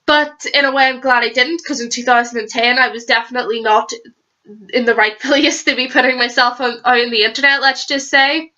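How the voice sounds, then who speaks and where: A woman's voice: a little high, steady tone, brisk, pushed and bright, low-quality recording. Young woman vlogging, stuffy from a cold. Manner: rambling, chatty, with frequent restarts. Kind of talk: casual book review vlog.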